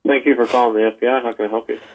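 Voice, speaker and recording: A man's voice: medium pitch, fairly even intonation, racing, very noisy. A man answering calls as a complaint agent, giving brief, businesslike official replies over the telephone. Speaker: call center agent taking complaints. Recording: tinny, narrow telephone line.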